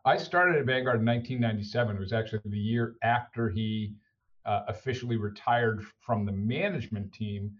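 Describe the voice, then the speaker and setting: A man's voice: lowish voice, fairly quick, highs cut off. A middle-aged man with an American accent, speaking conversationally and hesitantly as a podcast interview guest. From United States. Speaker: interview guest, senior executive.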